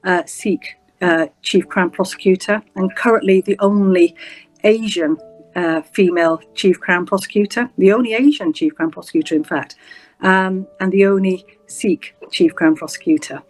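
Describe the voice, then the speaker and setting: A woman in her fifties with a Yorkshire accent, recounting her working life as a guest on an interview show. She speaks in a measured, reflective way and pauses often on "um". Soft music plays faintly behind her. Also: mid-range voice, poor audio. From England. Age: 50s. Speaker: guest, senior prosecutor. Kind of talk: interview storytelling.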